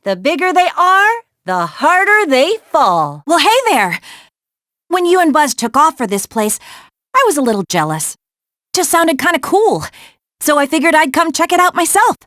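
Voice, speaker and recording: Plucky young woman guide from a video game, super high bright voice, encouraging, dry studio sound. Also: big pitch swings, moderate pace, no room sound. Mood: urgent, alarmed, then casual, friendly. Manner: encouraging, instructive. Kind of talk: animated video game character dialogue.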